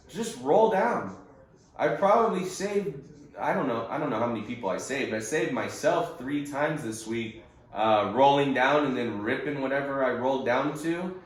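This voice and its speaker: A man coaching day traders in an informal monologue, blunt and insistent, repeating words for emphasis. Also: medium pitch. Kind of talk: informal instructional monologue on a group call. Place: conference call recording in echoey room. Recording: very echoey, reverberant room.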